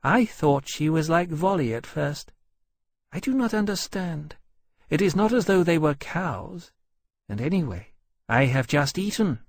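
Man voicing a gentle, earnest young dragon, gravelly. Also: on the high side, lively intonation, a little slow, no echo, clean studio sound, voice pushed up. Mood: puzzled. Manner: earnest, polite, innocent.

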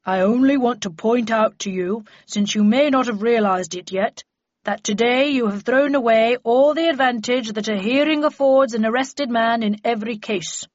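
A woman's voice: a little high, moderate pace, no room sound, dull audio, close to an everyday voice. A woman voicing a stern, small, fat male magistrate in an audiobook, formal and admonishing. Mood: grave admonishing.

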